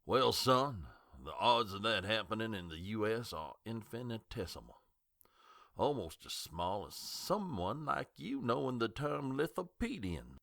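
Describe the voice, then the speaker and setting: An older male small-town doctor in an audio drama, skeptical and sardonic. The voice is fairly low but bright and lively, close and dry, and he lectures with condescension. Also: a little slow, vocal fry, no room sound, full character voice. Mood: indignant, sardonic.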